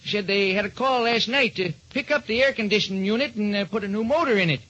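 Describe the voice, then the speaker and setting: A man's voice: high-pitched, quick pace, sharp tone, highs cut off. A man playing a helpful, chatty elevator operator in 1940s radio drama style, on an old radio recording. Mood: chatty, obliging.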